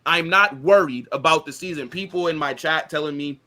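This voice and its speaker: High-pitched man with a bright, emphatic voice. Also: brisk. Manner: slangy banter, emphatic rhetorical questions. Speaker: football show host and streamer. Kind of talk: casual, opinionated football chat.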